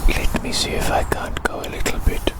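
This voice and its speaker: A British ASMR host whispering a roleplay slowly and repetitively, doting on the listener, outdoors in a field.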